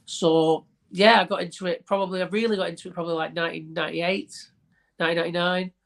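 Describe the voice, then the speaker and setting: A man's voice: sits high, flat delivery, quick pace, gravelly, fairly bright tone. A man with a Bolton accent and a stuffy nose from a cold, chatting as a guest on an online podcast and rambling a little as he talks. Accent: Bolton, northern English. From Bolton, England. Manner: chatty, rambling, repeats words when starting sentences. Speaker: wrestling ring announcer and radio host, guest. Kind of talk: casual podcast interview chat. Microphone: online call.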